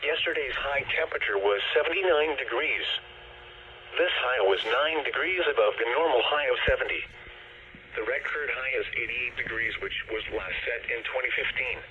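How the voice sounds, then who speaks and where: A male weather radio announcer comes through a narrow, tinny radio signal with light noise. His voice is mid-pitched and synthetic, reading forecasts and data in a formal, measured, automated way. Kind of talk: scripted marine forecast and weather report reading. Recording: noisy, tinny, band-limited weather radio broadcast.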